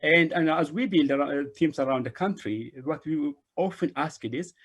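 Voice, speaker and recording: A man's voice: on the high side, normal speed, breathy edge. A middle-aged man giving a conference presentation over a video call, repeating words and phrases to drive points home. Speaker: invited speaker, refugee organization director. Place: small indoor room, remote video call.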